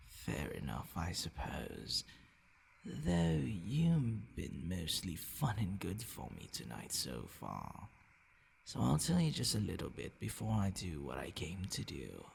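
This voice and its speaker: Man acting a slow, gravelly, possessive male vampire. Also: a little hiss or hum, exaggerated voice. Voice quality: gravelly, breathy low murmur dropping into whispers. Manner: slow, condescending, commanding. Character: possessive, menacing male vampire toying with his captive. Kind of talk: intimate ASMR roleplay acting.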